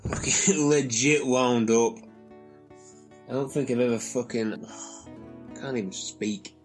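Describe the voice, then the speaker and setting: A man of middling pitch bickers in a comedy radio play. He is impatient and foul-mouthed, and music plays clearly behind him. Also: takes it slowly. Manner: irritable, impatient. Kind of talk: sweary comic banter.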